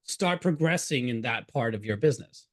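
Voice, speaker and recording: A man's voice: mid-range voice, animated, moderate pace. A man from Hungary hosting an interview podcast, friendly, laughing and asking follow-up questions. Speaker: podcast host. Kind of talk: casual interview conversation.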